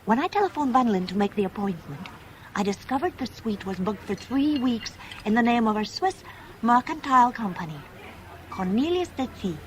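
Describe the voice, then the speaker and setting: An actress playing a composed, businesslike woman in a radio drama, speaking in a measured, precise and discreet way. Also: fairly high pitch, animated, close to an everyday voice. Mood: discreet, intent. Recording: noisy old radio drama recording.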